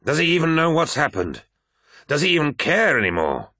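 A man's voice: fairly high pitch, huge pitch swings, normal speed, pushed and bright, no echo, clean studio sound, voice pushed up, exaggerated voice. Man acting a raging giant warrior captain, voice raised. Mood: angry, wounded. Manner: agitated, snapping, growling. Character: huge, hot-tempered warrior captain, anguished and raging. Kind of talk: dramatic science-fiction audiobook dialogue.

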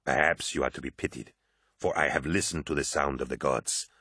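A man voicing a disdainful, fanatical warrior prophet in an audiobook, with a harsh rasp and a grave, zealous, declamatory delivery. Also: a bass voice, fairly even intonation, a little fast, sharp tone, no room sound, clean studio sound, voice pushed down, heavily acted. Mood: scornful, zealous.

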